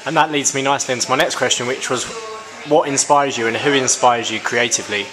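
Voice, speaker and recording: A man's voice: medium pitch, fairly even intonation, fast talker, low-quality recording. A man interviewing a guest, asking short prompting questions. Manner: brief, prompting.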